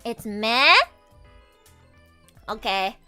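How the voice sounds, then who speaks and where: A woman's voice: a little high, very slow, clear, resonant tone, faint music behind. Chatty woman streamer with a sore throat. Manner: chatty, animated, casually swearing, addresses chat. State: sore throat, coming down with a cold. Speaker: VTuber streamer and voice actor. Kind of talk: casual livestream chat.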